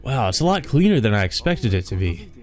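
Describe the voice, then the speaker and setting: A man's voice: mid-range voice, sing-song, a little fast, darkish tone, soft background music, lightly acted. Man reading as a breezy, insolent, prying man. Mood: breezy, familiar. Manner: breezy, over-familiar, needling. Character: insolent, carefree, probing male visitor.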